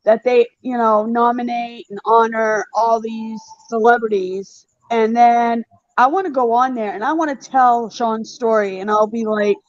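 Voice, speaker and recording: A woman's voice: on the high side, a little mellow, dry recording. A woman guest who is a Gold Star mother, speaking in a heartfelt, indignant way in casual panel talk. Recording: dull, dry audio.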